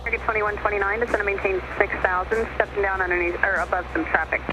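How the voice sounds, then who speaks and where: Fast female air traffic controller on radio. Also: mid-range voice, fairly even intonation, muffled recording, over music. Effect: aviation radio. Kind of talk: brisk procedural radio instructions. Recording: noisy aviation radio heard in cockpit.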